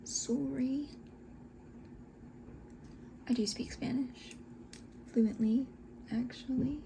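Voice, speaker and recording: Soft whispery woman in her 20s, chatting while doing her makeup, slow, mellow, faint hiss behind. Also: high-pitched, fairly even intonation, vocal fry. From Scotland, raised in Texas. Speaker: esthetician and beauty vlogger. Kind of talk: soft whispered get-ready-with-me chat.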